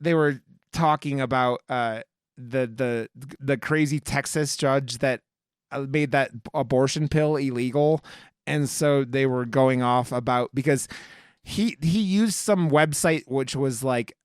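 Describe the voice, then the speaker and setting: A man's voice: medium pitch, a little slow, clear, resonant tone, no room sound, clean studio sound. A man hosting a casual podcast, chatting about films in a gushing, enthusiastic way with plenty of swearing. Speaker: podcast host. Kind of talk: casual, profane film review chat.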